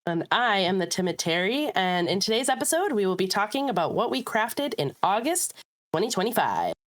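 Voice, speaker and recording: A woman co-hosting a podcast in casual chat, upbeat and chatty, her voice a little rough and hoarse from a recent illness. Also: mid-range voice, very animated, brisk. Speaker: podcast co-host.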